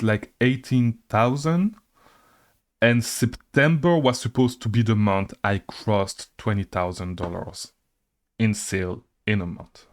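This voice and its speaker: A young man from Belgium telling his own business story to camera in a casual, rambling way, often correcting himself and checking in with "okay?". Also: mid-range voice. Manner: rambling, self-correcting, repeats "super hard" and "okay?". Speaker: online seller and channel host.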